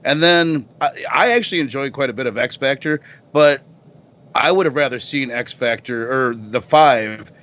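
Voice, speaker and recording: A man's voice: medium pitch, moderate pace, a bit bright, highs cut off. A man chatting casually and giving his opinions as a podcast guest. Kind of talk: casual chat.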